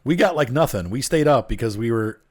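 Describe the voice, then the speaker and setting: A man's voice: medium pitch, animated, a little fast. A man who sounds a little congested and nasal, chatting casually at home into a desk mic while he plays a train game, muttering to himself as he works. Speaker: streamer playing a train game. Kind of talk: casual gameplay chat. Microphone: desk microphone turned up.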